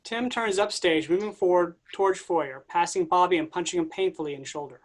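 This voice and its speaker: A man's voice: high voice, a little fast. Man reading stage directions plainly.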